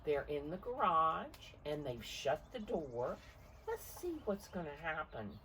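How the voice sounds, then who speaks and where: An older woman with a low, very expressive voice talks to small children as she reads a picture book. She explains things simply and asks questions, at an unhurried pace. She is recorded from a little distance. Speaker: children's storybook reader and teacher. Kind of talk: picture-book read-aloud for preschoolers, talking to the children. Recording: distant mic with faint background noise.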